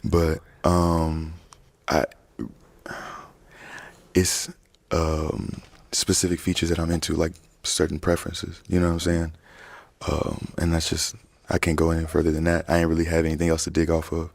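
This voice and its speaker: A man's voice: a bass voice, monotone, normal speed, gravelly, a little mellow, dry recording. A man on a dating show talking hesitantly, in slangy speech full of fillers and restarts. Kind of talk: unscripted dating show talk.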